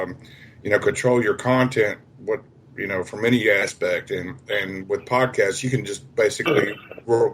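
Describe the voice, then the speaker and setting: A man's voice: lowish voice, a little hiss or hum, poor audio. A man co-hosting a casual podcast interview, speaking in an easygoing, agreeable way. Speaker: podcast co-host.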